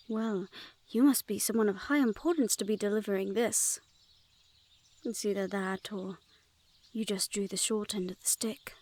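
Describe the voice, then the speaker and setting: A woman's voice: mid-range voice, darkish tone, lightly acted. A woman playing a wary, teasing Fae border guard in a fantasy audio roleplay, with a playful, sardonic and haughty manner. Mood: curious, sardonic.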